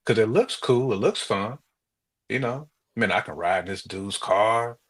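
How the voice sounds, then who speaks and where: An American man co-hosting a podcast in casual, slangy chat, telling things in an animated way and acting out quotes. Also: lowish voice, measured pace. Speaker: podcast co-host.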